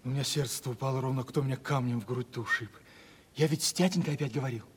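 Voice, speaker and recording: An actor playing a lovelorn young merchant's son, agitated and folksy, in a radio stage play. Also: male voice, medium pitch, fast, slightly rough. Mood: anxious, forlorn. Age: young adult. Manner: plain-spoken, folksy, agitated. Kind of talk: 1970s Russian stage play radio acting. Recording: reverberant hall, old radio drama.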